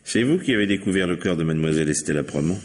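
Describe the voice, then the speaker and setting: Brusque, deep-voiced police commissioner. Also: male voice, animated, very fast, a little mellow. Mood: stern. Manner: curt, authoritative. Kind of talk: vintage French radio mystery acting.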